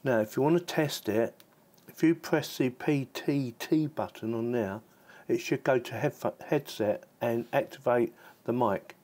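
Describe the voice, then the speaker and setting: A man's voice: medium pitch, unhurried, darkish tone. Casual man giving a chatty gadget review. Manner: chatty, informal, thinking aloud while handling the gear. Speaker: tech reviewer and channel host. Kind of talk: casual product review and demonstration.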